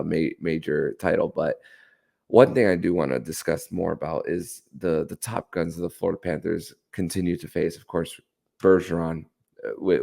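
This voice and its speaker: A man with a very low voice and a gravelly creak hosts a sports podcast. He delivers solo hockey commentary with an enthusiastic manner. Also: a little mellow.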